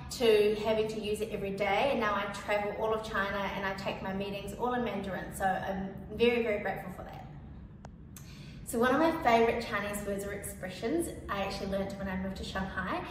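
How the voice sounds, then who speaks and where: A young woman with a New Zealand accent who grew up speaking Cantonese talks through her story in a relaxed, chatty way, full of "like" and "you know". Her voice sits at an ordinary middle pitch. It comes from some distance, in a strongly reverberant space with clear background noise. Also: brisk. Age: young adult. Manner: casual and chatty, with many "like" and "you know" fillers. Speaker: featured speaker. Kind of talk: personal testimonial.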